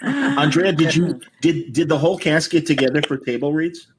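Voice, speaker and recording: A man hosting a casual panel interview, asking questions politely and haltingly with frequent compliments. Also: on the high side. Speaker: host moderating a cast panel. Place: indoors on a group video call. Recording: video call with noise and clicks.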